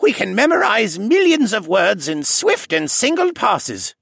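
A man's voice: high voice, huge pitch swings, normal speed, vocal fry, fairly bright tone, husky, dry recording, studio quality, pitched above the performer's own voice, exaggerated voice. A man voicing a tipsy old male koala butler with a slightly slushy, theatrical way of reciting.

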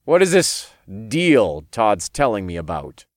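A man voicing a defiant, sarcastic young hero and demon king in a fantasy audiobook, forceful and informal. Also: fairly low pitch, very animated, a little slow, no room sound, clean studio sound. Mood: demanding.

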